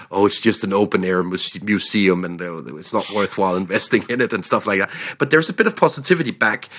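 Low husky Danish-accented man. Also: a bit bright. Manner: measured, many "uh" pauses. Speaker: podcast co-host, analyst. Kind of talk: casual market discussion.

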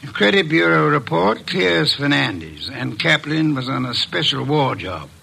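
An actor playing a middle-aged private investigator in a 1950s radio drama, speaking in a precise, measured, commanding way. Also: male voice, on the high side, very animated, gravelly, bright, forceful tone, husky, heavily acted. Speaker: detective.

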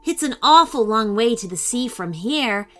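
A woman's voice: fairly high pitch, lively intonation, normal speed, a bit bright, faint music behind. A woman voicing a polite, thoughtful young boy who speaks hesitantly and carefully. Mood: polite, curious. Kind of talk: storybook character voice.

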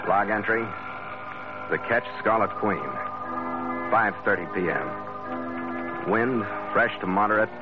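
A man's voice: on the low side, takes it slowly, pauses often. An actor playing a tough, wry sea captain who narrates his adventures tersely and vividly in 1940s radio drama style. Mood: solemn, clipped. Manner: hardboiled, vivid storytelling, terse. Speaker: lead actor and first-person narrator. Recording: dull old radio recording, loud music behind.

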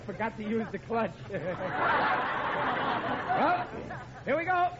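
A man's voice: extremely high pitch, sing-song, slow, a loud crowd, exaggerated voice. An actor playing an overconfident, bumbling middle-aged American father in an old radio sitcom, stammering and chuckling nervously. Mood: nervous, sheepish. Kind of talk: 1950s radio sitcom acting. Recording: muffled, very noisy old radio recording.

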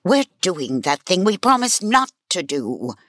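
An actress playing a self-important lead narrator in a comic fantasy audio drama, pompous and theatrical. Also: female voice, lowish voice, big pitch swings, unhurried, fairly bright tone, dry recording, studio quality, exaggerated voice. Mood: condescending.

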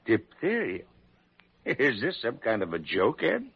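An actor playing a stubborn, evasive guest-house owner in an old-time radio drama, stammering and repeating himself in protest. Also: male voice, mid-range voice, huge pitch swings, unhurried, vocal fry, slightly rough, heavily acted. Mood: evasive, denying. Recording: dull lo-fi old radio recording.

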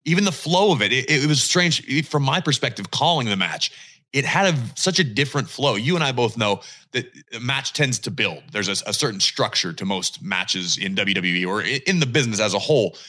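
A man co-hosting a wrestling podcast, talking in an energetic, emphatic, casual way. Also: mid-range voice, a little fast. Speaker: podcast co-host.

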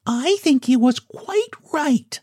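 A prim little storybook girl, played by a male narrator, dignified and wary. The voice is high and very lively in pitch, and the words come slowly and earnestly. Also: dry recording, clean studio sound, full character voice. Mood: indignant. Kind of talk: storybook character voice.

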